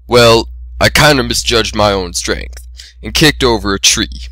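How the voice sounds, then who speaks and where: Storybook stallion, played by a man: low-ish, rustic, sheepish older brother, few words, awkward and glum. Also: a little slow, pushed and bright, no echo. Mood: nervous. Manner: plain-spoken, rustic, awkward.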